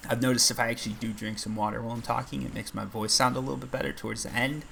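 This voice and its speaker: A man hosting a gaming channel, chatting casually and rambling with self-deprecating asides as he plays, his voice a little raspy. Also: mid-range voice, fairly even intonation, racing, a bit bright, a little hiss or hum. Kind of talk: casual gameplay commentary.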